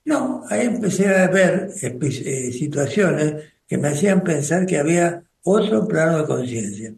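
An older man with a Rioplatense Spanish accent talks about his own past in a radio interview, slow and reflective, often pausing and starting over. His voice sits a little high for a man. The room gives it a noticeable echo. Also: very animated, vocal fry, darkish tone. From Argentina. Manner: slow, thoughtful, with pauses and restarts. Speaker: spiritual group coordinator and radio guest.

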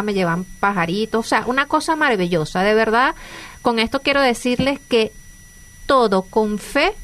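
A woman's voice: on the high side, fairly bright tone. Venezuelan woman hosting a chat. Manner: chatty, earnest, with many restarts and fillers. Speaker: radio host. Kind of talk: conversational interview.

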